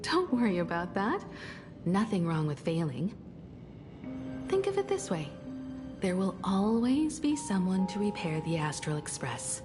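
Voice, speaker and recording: A composed, mature woman who speaks slowly with wide, lilting swings of pitch. She is devoted, reassuring and faintly teasing, like an anime game companion, over clear background music. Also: very animated. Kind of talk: anime-style game voice acting.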